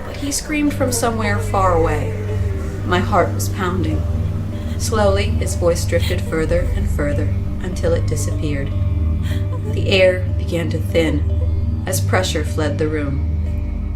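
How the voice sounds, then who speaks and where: A woman reading a first-person story as a scripted, dramatic narration. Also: lowish voice.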